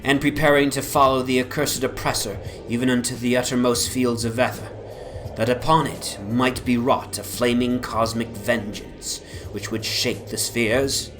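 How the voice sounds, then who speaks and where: A man giving a solemn, deliberate dramatic narration of a literary horror story over an ambient soundscape. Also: medium pitch, little pitch movement, music in the background, rough recording.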